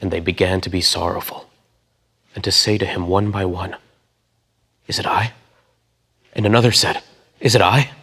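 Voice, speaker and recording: A man's voice: deep voice, steady tone, moderate pace, pauses often, pushed and bright, slightly rough. A man giving a dramatic reading of scripture as a voice actor, acting out quoted dialogue with emphasis.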